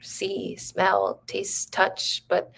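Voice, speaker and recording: A slow, somber voice belongs to a despairing woman reading out her farewell letter, as an actress plays her. Her tone has a gravelly fry to it, and she takes her time over each phrase. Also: on the low side, wildly swooping pitch, a bit bright, full character voice, rough recording. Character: troubled grown woman writing a farewell letter.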